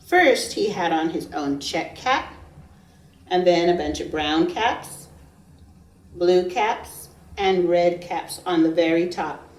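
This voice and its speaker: A woman's voice: lowish voice, takes it slowly. A woman preschool teacher reading a story aloud to young children, with emphatic phrasing and questions for her listeners. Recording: roomy echo, distant microphone.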